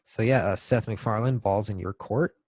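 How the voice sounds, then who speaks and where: A man's voice: on the low side, moderate pace, a little mellow, no room sound, clean studio sound. A man co-hosting a podcast in casual, joking chat, slangy and swearing now and then. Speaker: podcast co-host.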